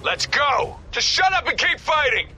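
An actor playing a battle-hardened, foul-mouthed special forces squad leader in terse, commanding combat lines for a video game. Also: male voice, very high-pitched, big pitch swings, moderate pace, pushed and bright, faint music behind, heavily acted. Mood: brisk, businesslike.

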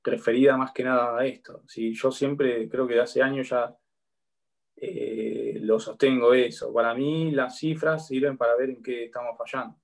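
A man in his thirties with an Argentine accent, lecturing law students online and often checking in with a quick question. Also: mid-range voice, steady tone, creaky. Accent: Argentine Spanish. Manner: explanatory, checks in with a frequent "¿sí?". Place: home room with bookshelf. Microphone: laptop webcam on a video call.

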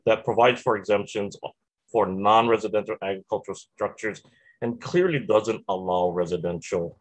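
A man's voice: lowish voice, moderate pace, dry recording, poor audio. A man in his thirties testifying politely over a video call for a farm group. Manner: polite, steady, lightly repetitive. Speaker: farm lobby representative testifying. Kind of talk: formal testimony.

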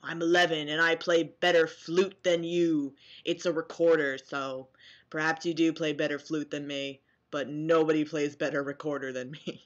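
A woman's voice: sits low, level tone, normal speed, dull audio. A woman in her twenties vlogging to a webcam, mixing casual sarcastic commentary with mock-dramatic, theatrical reading. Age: 20s. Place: small dim bedroom, indoors.

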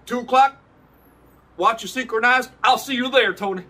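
Man acting high-pitched, excitable, nervous schemer. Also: breathy edge, heavily acted. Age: middle-aged. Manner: halting, excitable, conspiratorial. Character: eager, nervous, dim male schemer. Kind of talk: improvised soap-opera acting.

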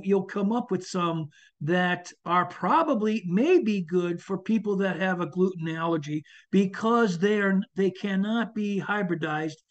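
An older man with a fairly high voice answers questions over a video call, often restarting his sentences and filling pauses with "uh" before settling into friendly advice. The audio is dull and lo-fi, like a webcam mic in a small room. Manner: hesitant, many restarts and fillers, friendly advice-giving. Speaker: chef and foraging instructor. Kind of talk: answering audience questions in a webinar.

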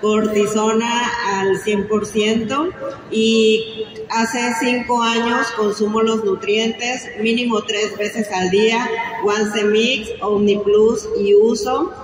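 A woman's voice: on the high side, steady tone, takes it slowly, crowd noise. A woman giving a grateful testimonial to an audience. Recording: echoey hall with noise.

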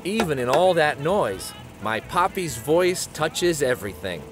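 Animated man reading a children's storybook. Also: on the high side, unhurried, over loud music. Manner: animated, dramatic. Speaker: children's storybook reader and show host. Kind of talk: expressive picture-book read-aloud.

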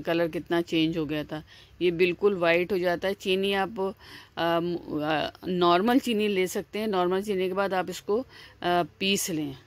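A woman hosting a home cooking video, explaining each step in a chatty, repetitive way with frequent fillers and restarts. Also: on the low side. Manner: chatty, repeats herself, many "aa" fillers and restarts. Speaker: cooking channel host. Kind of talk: casual step-by-step cooking instructions.

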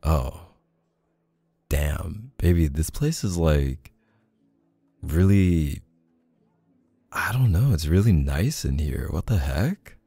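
A man with a deep, mellow voice plays an affectionate boyfriend in an intimate roleplay. He talks casually and unhurriedly, hesitating in a bashful, playful way. The recording is close and dry. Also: expressive, slow, creaky, long pauses, slightly rough, dry recording. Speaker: ASMR boyfriend roleplay performer. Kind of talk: intimate casual one-sided roleplay acting.

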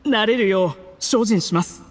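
A man's voice: high voice, in a large hall, a little hiss or hum. A man voicing a timid, servile conspirator in an anime game, meek and anxiously deferential. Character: timid, servile male conspirator, nervously polite.

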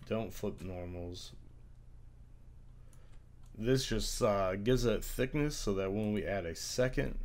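A young man with a very low, congested voice walks through a software tutorial, his throat strained from a recent illness. He hesitates often with filler sounds as he works, and keyboard typing is heard behind him. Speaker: tutorial creator. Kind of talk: screen-recorded software tutorial.

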